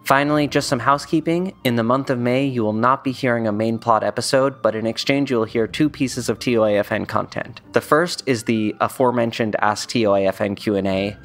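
A man reading scripted announcements as the announcer of a podcast. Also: mid-range voice. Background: music.

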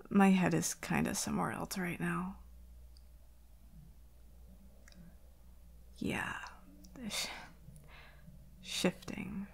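A woman playing an anxious, vulnerable werewolf girlfriend in an intimate ASMR roleplay, soft and hesitant, stammering and often slipping into breathy whispers. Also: fairly low pitch, heavily acted. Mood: hesitant, distracted.

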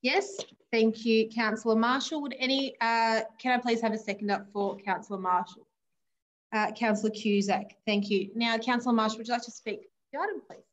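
A woman with an Australian accent chairing a formal council meeting over video call, brisk and procedural with polite thanks. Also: fairly high pitch, fairly even intonation. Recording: dull video call audio with faint noise.

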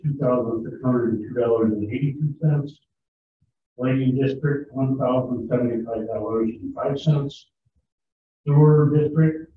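A man chairing a town board meeting, briskly reading resolutions and dollar figures in a matter-of-fact way. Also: mid-range voice. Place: large town hall meeting room. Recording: distant echoey hall audio, thin phone-like sound, faint hiss.

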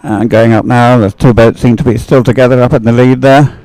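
Guy doing live race commentary, kinda hesitant, average pitch, thinking out loud as he watches. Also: fairly even intonation, fairly quick, no echo, rough recording. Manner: hesitant, observational, thinking aloud. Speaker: sports commentator.

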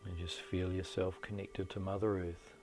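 A middle-aged man leading a guided meditation in a slow, deliberate, soothing delivery with long pauses. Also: low-pitched, level tone. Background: soft meditation music.

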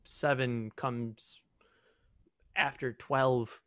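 A man's voice: mid-range voice, fairly even intonation, very slow, a bit bright, dry recording. A young man answering casually on a video call Q&A as a student audio team member. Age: young adult.

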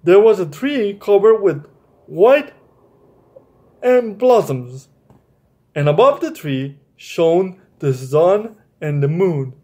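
A young adult man hosting a children's story time, speaking haltingly and often repeating his phrases. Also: sits high, darkish tone. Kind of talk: children's story time, read-aloud and chat to viewers. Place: small bright room indoors.

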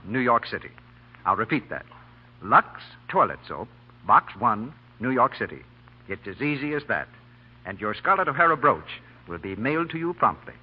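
An American man working as a radio announcer, delivering a 1940s advertising pitch. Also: breathy edge. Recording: dull old 1940 radio recording.

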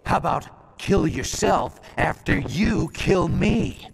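An actor playing a cocky, laid-back assassin swordsman in a video game, wisecracking and profane with a gravelly voice. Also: sits high, expressive, slow pace, heavily acted. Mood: calm, wry. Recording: long reverb, music behind.

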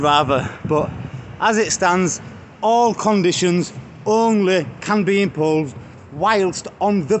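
British street vlogger in his thirties, high light voice, chatty and mocking, phone at arm's length outdoors. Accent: British English. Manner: chatty, addresses viewers as guys, mocking jabs. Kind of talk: walking selfie vlog chat. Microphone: phone held at arm's length. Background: faint street traffic.

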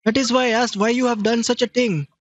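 A man's voice: extremely high pitch, fairly even intonation, fast talker, no room sound. A man playing a confused victim on a prank call, speaking broken English in a put-on Indian accent and teasing the caller. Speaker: scam baiter posing as a victim.

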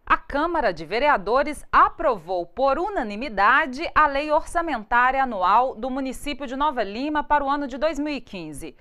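High-voiced woman news anchor. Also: lively intonation, moderate pace, hardly any pauses, sharp tone. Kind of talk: scripted TV news presenting. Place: TV studio. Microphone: lapel mic.

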